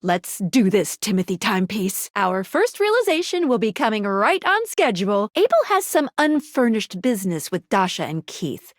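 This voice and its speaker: A woman playing a perky, quirky, friendly young game guide, speaking in an expressive, playful and slangy way. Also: medium pitch, moderate pace, few pauses, no room sound, studio quality, natural voice. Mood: encouraging. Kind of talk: video game character voice lines.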